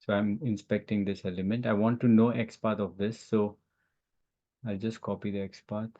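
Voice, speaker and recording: Low-voiced male tech instructor, roomy sound. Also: little pitch movement, dark tone. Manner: halting, thinking aloud while demonstrating. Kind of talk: informal live software lesson. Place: online class call with screen sharing. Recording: roomy, dull lo-fi online-call audio.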